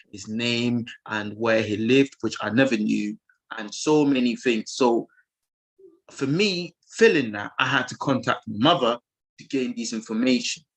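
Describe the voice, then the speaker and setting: A man's voice: medium pitch, rough recording. A man calling into an online forum, earnestly asking a long, rambling question with many fillers and restarts. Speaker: caller. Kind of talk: asking a question on a video call.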